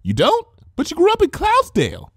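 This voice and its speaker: A man voicing an excitable, bossy young female cartoon pony in a fan fiction reading, speaking in exclamations. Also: extremely high pitch, brisk, creaky, no room sound, studio quality, voice pushed up, full character voice.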